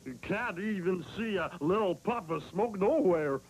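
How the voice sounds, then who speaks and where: A meek, slow-witted cartoon bear. The voice is high, simple and hesitant. Music sits behind it on an old soundtrack. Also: male voice, animated. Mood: agreeable. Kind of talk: 1960s TV cartoon acting.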